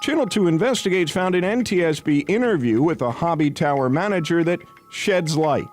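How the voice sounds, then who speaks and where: An American man narrating a scripted investigative TV news report as its reporter. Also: high-pitched.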